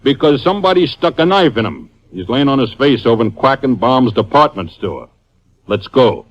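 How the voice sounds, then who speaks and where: An actor playing a blunt, sardonic police sergeant with deadpan delivery in 1950s radio detective drama style. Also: male voice, mid-range voice, normal speed, a little hiss or hum, close to an everyday voice. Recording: muffled vintage radio recording.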